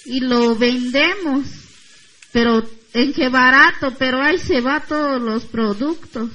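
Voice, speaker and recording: A woman giving a short, halting address at a community gathering, with simple phrasing and pauses. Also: high voice, pushed and bright. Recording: dull, muffled recording.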